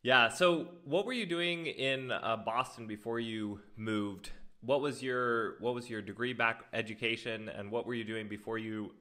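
A man's voice: medium pitch, a little slow, few pauses, sharp tone. A young man hosting a casual travel podcast from home, talking upbeat and eager with a few fillers, into a stand mic. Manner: upbeat, enthusiastic intro with fillers. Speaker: podcast host. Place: living room at home. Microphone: studio mic on stand with headphones.

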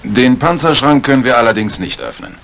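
Brisk, medium-pitched police inspector. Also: male voice, animated, a bit bright. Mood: matter-of-fact. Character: brisk, matter-of-fact police inspector in a mystery. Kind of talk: 1950s German radio play acting.

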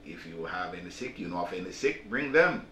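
An older, low-voiced man answers interview questions as a bishop. He speaks deliberately, with halts and repeated words. He sits away from the mic in a roomy office, with clear noise behind him. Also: animated, fairly bright tone, far from the mic. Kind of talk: interview, inviting the public to an event. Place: small office, seated at a desk.

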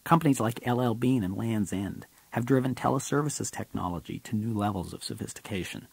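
A man's voice: lowish voice, a little fast, vocal fry, darkish tone, breathy edge, dry recording. A man reading his own business audiobook as scripted narration, in an emphatic, explanatory way.

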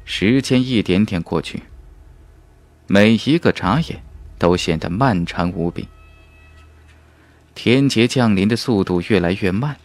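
A man reading a fantasy novel as an audiobook narrator, in a dramatic, measured and emphatic storytelling manner. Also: on the low side, no room sound.

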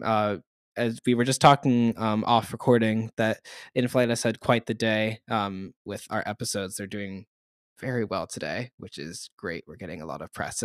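A man's voice: lowish voice, no room sound, clean studio sound. A man co-hosting a podcast, welcoming and introducing a guest in a friendly, casual way. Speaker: podcast co-host.

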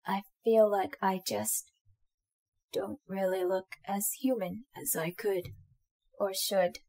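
Woman as a slow, creaky-voiced robot girl companion. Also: medium pitch, steady tone, warm tone. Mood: self-conscious, touched. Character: affectionate, shy young female robot companion droid. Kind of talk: roleplay audio drama addressed to the listener.

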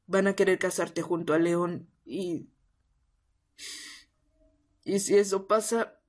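Husky, tearful young half-vampire man acted out. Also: mid-range voice, fairly even intonation, slow pace. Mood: hurt, sad. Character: miserable, self-pitying half-vampire young man in love. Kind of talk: intimate ASMR roleplay addressed to listener.

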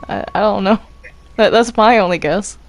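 A woman's voice: medium pitch, normal speed, soft background music. A woman chatting casually while gaming, giggly and rambling as she reacts aloud. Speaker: player in a Minecraft roleplay group.

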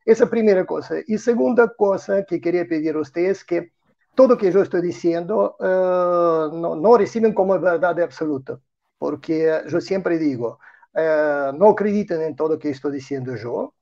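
An older man with a Russian accent speaks Spanish, which is not his native language, as a guest on a video call. His voice sits a bit high but sounds dark and dull through the call audio. He stops and restarts often while looking for words. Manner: halting, many restarts and fillers. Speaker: guest, retired colonel. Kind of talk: interview answers in non-native Spanish. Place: home room.

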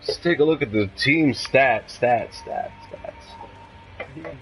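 A man's voice: mid-range voice, slow, fairly bright tone, soft background music. A man co-hosting a gaming show, talking casually in laid-back slang as he plays. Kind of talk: casual chat while playing a video game.

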